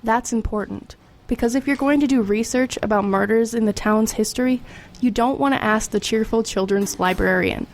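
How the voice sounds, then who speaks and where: A woman's voice: on the high side, moderate pace, a little hiss or hum, close to an everyday voice. An actress playing a streetwise teenage girl in a radio drama, confiding and matter-of-fact as she tells her story. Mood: wry, confiding. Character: streetwise, wry, formerly homeless teenage girl. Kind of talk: first-person narration and dialogue in radio drama.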